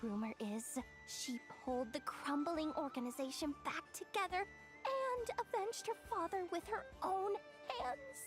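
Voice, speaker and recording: A woman voicing an anxious, gossipy young female expo manager in a video game, excitable and conspiratorial. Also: extremely high pitch, unhurried, music in the background. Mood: nervous gossip.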